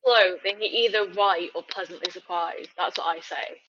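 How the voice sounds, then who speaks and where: A woman's voice: fairly low pitch, normal speed, bright, forceful tone, a little hiss or hum. Wry British young woman, lo-fi sound. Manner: wry, self-deprecating. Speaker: podcast guest. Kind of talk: casual chat. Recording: dull lo-fi recording.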